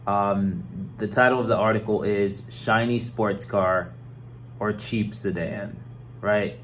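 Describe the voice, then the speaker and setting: A hoarse man with a cold, an insurance agent casually explaining things with many fillers and repeated words. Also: fairly low pitch, steady tone, takes it slowly, slight background noise. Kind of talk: casual explainer talk to camera.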